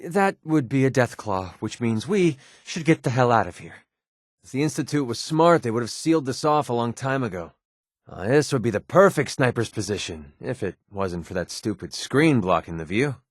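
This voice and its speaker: Casting: young American wasteland gun-for-hire for a game, mid-pitched, sardonic and casual, very dry recording. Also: male voice, sing-song, normal speed, dry recording. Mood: watchful, then wry. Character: wry young male mercenary sniper in a post-apocalyptic wasteland. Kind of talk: video game companion dialogue.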